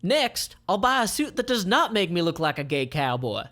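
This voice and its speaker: A man voicing a smug, scheming male villain turned president, excitable and boastful, in a comic read aloud. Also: high voice, normal speed, voice pushed up, heavily acted. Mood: pompous.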